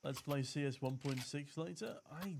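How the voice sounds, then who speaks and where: A man's voice: on the high side, moderate pace, vocal fry, noisy background. A man from the UK casting an esports match alone, calling the play and chatting with viewers in the chat. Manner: chatty, jokey, reads viewer chat aloud between play calls. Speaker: esports caster. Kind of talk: solo esports commentary with chat reading.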